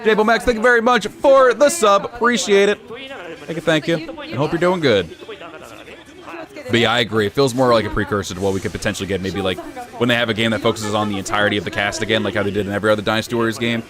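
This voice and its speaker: A young man with a lowish voice chats casually on a live stream, mostly even and low-key but now and then jumping up with excitement. Loud battle crowd noise from the game rumbles behind him. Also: fast.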